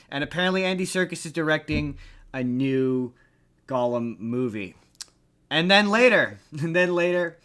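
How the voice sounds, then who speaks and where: Bright, animated male podcast host. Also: mid-range voice. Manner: animated, repeats phrases for comic emphasis. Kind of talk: casual comedic chat about pop culture.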